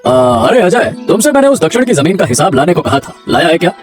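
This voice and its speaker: A man's voice: high-pitched, big pitch swings, fast talker, soft background music. A man playing a greedy, domineering village headman in a Hindi moral-story cartoon, threatening as he talks. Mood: bossy, threatening. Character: greedy, bullying village headman, land-grabber.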